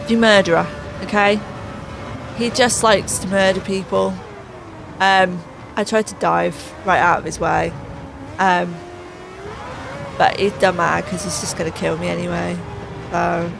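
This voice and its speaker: A woman giving sarcastic, exasperated voice-over commentary on a racing game while losing her voice. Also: mid-range voice. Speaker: gaming channel host. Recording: voice over clear background music.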